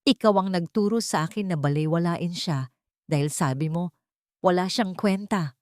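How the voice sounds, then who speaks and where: Woman acting a whiny mistress, affected, pushy, complaining, raised pitch, audio drama, dry sound. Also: moderate pace, darkish tone, no echo, natural voice. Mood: indignant. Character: whiny, scheming, demanding young mistress.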